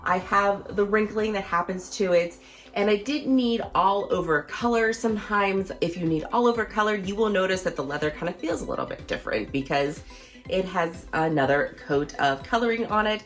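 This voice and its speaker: Slightly hoarse woman chatting casually. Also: mid-range voice, normal speed, faint music behind. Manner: chatty, enthusiastic, conversational. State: slightly hoarse, recovering from losing her voice. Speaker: fashion vlogger. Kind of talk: casual product review vlog.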